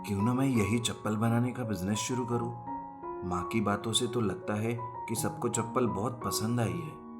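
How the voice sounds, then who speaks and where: A man's voice: fairly low pitch, fairly even intonation, moderate pace, lightly acted. A man playing a devoted, earnest young village son in a cartoon story, speaking plainly. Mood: thoughtful. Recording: music bed behind the voice.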